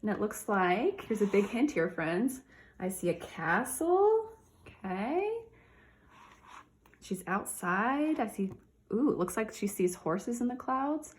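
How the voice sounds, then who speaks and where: A woman with an animated, swooping voice and a middle pitch speaks as a teacher to young children. She reads storybook style and chats directly to her listeners as she goes. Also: takes it slowly, a little hiss or hum. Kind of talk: children's read-aloud with comments and questions.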